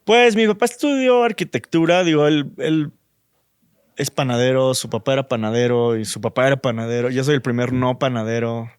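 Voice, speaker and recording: A man with a Mexican accent, a designer guest in a relaxed interview, thinking aloud with fillers. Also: mid-range voice, brisk, clean tone, no room sound, clean studio sound. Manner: thoughtful, many fillers like "este" and "o sea".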